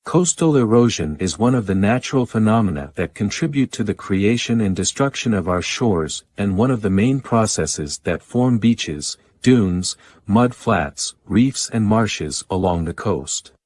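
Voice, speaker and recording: A man with a fairly low voice reads a scripted practice passage aloud as narration. The sound is very dry, without any room around it. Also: animated, measured pace, hardly any pauses, darkish tone, no room sound.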